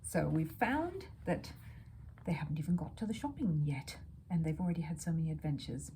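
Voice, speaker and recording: Woman, children's audiobook narration, very lively intonation, soft dark tone, faint background noise. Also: low-pitched, fairly quick. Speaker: storyteller.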